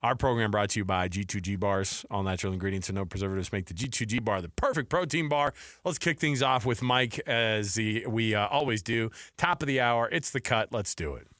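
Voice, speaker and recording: Bright, forceful American sports radio host, male. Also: fairly low pitch, brisk, no room sound, studio quality. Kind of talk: casual sports talk radio. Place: radio studio.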